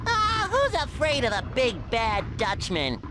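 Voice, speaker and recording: An actor playing a childlike, naive cartoon sea sponge hero in a game, squeaky and eager. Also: male voice, measured pace, heavily acted. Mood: cocky defiance. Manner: eager, excitable. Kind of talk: cartoon video game voice acting. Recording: clear background noise.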